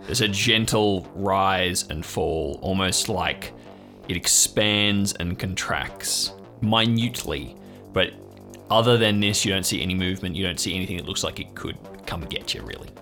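A man's voice: deep voice, moderate pace, pushed and bright. A man running an improvised audio drama game as game master, narrating in a suspenseful, descriptive way. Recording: music playing behind the voice. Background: music.

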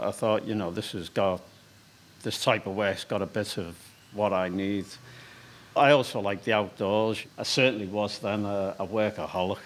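A man in his sixties with a Liverpool accent, a stone carver telling his story in an interview, hesitant with frequent fillers. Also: fairly low pitch. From England. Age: 60s. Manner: hesitant, with many fillers and restarts.

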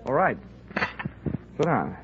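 An American man playing a wry, hard-boiled insurance investigator in a 1950s radio drama, with a clipped, deadpan, wisecracking delivery. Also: mid-range voice, slow, muffled recording, noisy background. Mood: cool, probing. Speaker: lead actor and narrator. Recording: band-limited vintage radio recording, music behind.

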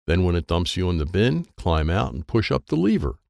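A man voicing a pushy, matter-of-fact young girl who explains things briskly in a children's story. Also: sits low, very animated, gravelly, a little mellow, dry recording, clean studio sound, exaggerated voice.

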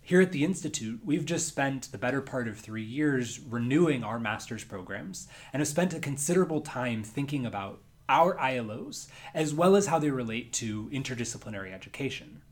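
A male university lecturer giving measured, scripted narration about a course. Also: mid-range voice, lively intonation.

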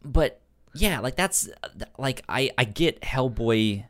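A man's voice: medium pitch, expressive, measured pace. A man co-hosting a podcast, casually discussing comic books, with a stutter that repeats sounds and syllables. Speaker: podcast co-host.

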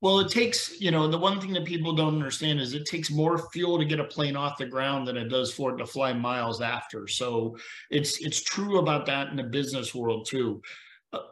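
A middle-aged man talks earnestly over a video call, leaning into stories and lessons like a lay preacher. His voice sits at an ordinary pitch, and the room around him rings with a noticeable echo. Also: normal speed, fairly bright tone, a little hiss or hum. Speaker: podcast guest. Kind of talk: casual conversation.

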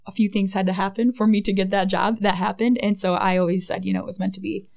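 A woman's voice: mid-range voice, little pitch movement, quick pace, gravelly, a little mellow. A woman in her thirties with a Midwestern American accent, chatting casually as an interview guest, often adding "right?" and "like". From Michigan. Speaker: guest, Air Force public affairs NCO.

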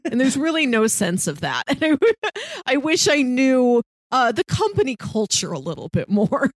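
A woman's voice: high voice, big pitch swings, moderate pace, dry recording. Woman podcaster, close dry sound. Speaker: podcast co-host reviewing films. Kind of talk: casual movie review chat.

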